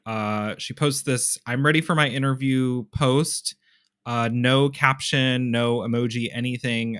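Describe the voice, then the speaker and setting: A man's voice: medium pitch, smooth, no echo. Hyped fanboy cohost guy, dry close mic, says uh a lot. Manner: animated, gushing fan energy. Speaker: podcast co-host. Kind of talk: casual chat.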